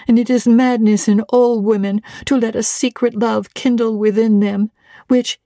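A woman's voice: mid-range voice, mellow, no room sound, studio quality, natural voice. A woman playing a young governess who sternly scolds and commands herself in a dramatic literary monologue. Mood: scornful reproach. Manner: severe, commanding, scornful.

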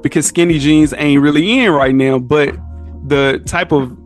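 Man, Southern US accent, slightly high pitch, slangy, emphatic, casual podcast talk, music underneath. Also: steady tone, moderate pace. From southern United States. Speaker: podcast guest. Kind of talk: casual chat.

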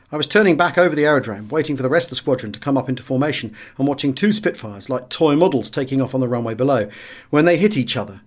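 A man with a British accent reads a first-person memoir as an audiobook narrator, in a measured, expressive storytelling manner. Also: medium pitch. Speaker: audiobook reader.

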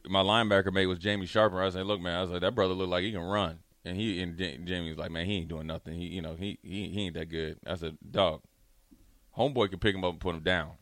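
A man telling casual sports stories as a radio co-host, animated and acting out what people said. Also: low-pitched, dry recording. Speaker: radio show co-host, former pro football player.

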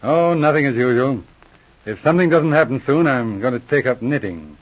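An actor playing a brisk, level-headed police inspector with a matter-of-fact manner in a 1940s-style radio drama. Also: male voice, mid-range voice, animated, natural voice. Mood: grumbling. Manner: matter-of-fact, clipped questioning. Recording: dull old radio recording.

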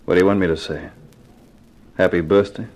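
An actor playing a tough, guarded private eye in a radio crime drama, terse, poker-faced and sardonic. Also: male voice, low-pitched, fairly even intonation, fairly quick, a little mellow, close to an everyday voice. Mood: curt, defiant. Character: tough, guarded hard-boiled private eye, narrating his case. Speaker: actor playing detective and narrator. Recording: noisy old 1950s radio recording.

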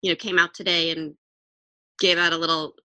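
Low-voiced lady, dry humor, bright tone, close dry mic. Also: little pitch movement, no echo, poor audio. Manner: measured, wry, hesitant restarts. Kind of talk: casual commentary chat.

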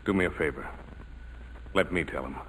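An American actor playing a tough, loyal ex-bomber pilot in a 1940s radio drama, speaking in clipped, terse phrases. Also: male voice, rumbling low, moderate pace, a bit bright, slight background noise, rough recording. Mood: grim, subdued. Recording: vintage 1940s radio broadcast.

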